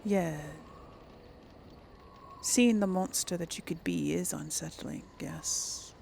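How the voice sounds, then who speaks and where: A woman's voice: lowish voice, slow, gravelly, darkish tone, slight background noise. A woman hosting a gaming video, giving casual commentary and lightly role-playing dialogue. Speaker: gamer, video host.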